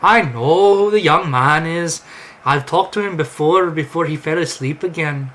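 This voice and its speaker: Man putting on a high-pitched motherly farm wife. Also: moderate pace, fairly bright tone, a little hiss or hum, close to an everyday voice. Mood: brisk.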